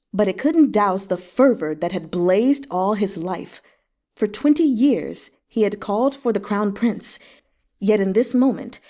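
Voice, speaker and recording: A woman's voice: medium pitch, dark tone. A woman narrating a novel aloud expressively as a read-along host.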